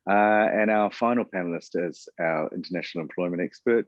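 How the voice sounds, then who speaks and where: A man hosting an online webinar, talking in a relaxed way with many fillers and restarts. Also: sits low, fairly quick, no room sound, muffled recording. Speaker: webinar host. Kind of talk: webinar presentation. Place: Auckland.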